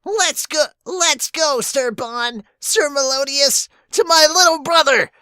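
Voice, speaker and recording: Man doing a loud, shrill, excitable beast-man warrior. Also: slow pace, dry recording, studio quality, full character voice. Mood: eager. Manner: shouting eagerly.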